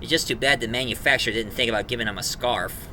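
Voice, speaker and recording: A man giving a casual, rambling review in a halting, enthusiastic fan manner. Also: medium pitch, noisy background. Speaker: reviewer, host.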